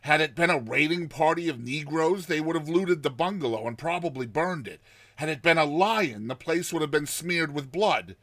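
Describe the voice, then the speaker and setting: A man voicing a terrified man telling his nightmare in a dramatized story, with tense pauses, hushed passages and explosive outbursts. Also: on the high side, animated, dry recording, pitched above the performer's own voice. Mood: dread. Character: terrified man recounting his nightmare of a lurking giant serpent.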